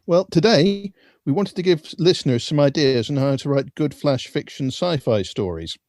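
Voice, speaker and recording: A man co-hosting a podcast who asks his guest questions in a lively, enthusiastic way. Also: fairly high pitch, normal speed, dry recording. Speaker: podcast co-host. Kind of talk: podcast interview.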